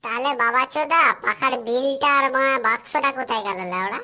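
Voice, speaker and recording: A woman's voice: high-pitched, a little fast, pushed and bright. A woman's voice playing a suspicious young lad sidekick in a comic cartoon.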